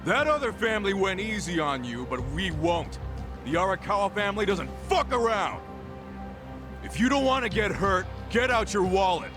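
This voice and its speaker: Cocky young yakuza underling, lively pitch. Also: male voice, normal speed, music in the background. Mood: taunting, threatening. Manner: slangy, cocky talk. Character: young junior yakuza sidekick, eager, mock-threatening debt collector. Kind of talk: video game cutscene dub acting.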